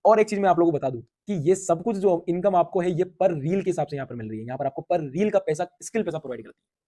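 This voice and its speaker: A man giving an energetic sales pitch for a referral income scheme, piling on hyped repetition. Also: fast talker.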